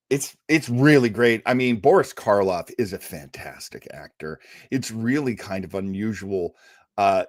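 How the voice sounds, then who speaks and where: A man's voice: lowish voice, dry recording, clean studio sound. A man speaking as a radio show host, giving a casual, rambling live introduction like an enthusiastic, chatty storyteller. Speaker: host of an old-time radio listening show.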